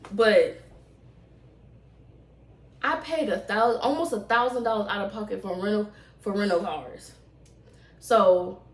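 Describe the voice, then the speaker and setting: Echoey, distant woman telling a casual story. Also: on the high side. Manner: animated, rambling, slangy delivery with emphatic repeats. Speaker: vlogger. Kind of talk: casual storytime chat to camera. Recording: roomy echo, distant microphone.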